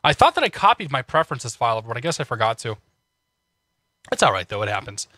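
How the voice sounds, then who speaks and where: A man's voice: medium pitch, very animated, quick pace, pushed and bright, no room sound, clean studio sound. A man streaming a flight sim, chatting casually with viewers, with a slightly congested voice and many restarts. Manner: chatty, frequent restarts, laughs often. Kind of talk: casual live-stream chat.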